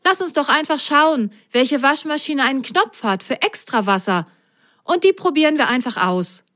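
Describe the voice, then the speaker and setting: Woman as young male sock character, high voice, eager, chatty, excitable, children's storybook. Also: moderate pace. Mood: confident, eager.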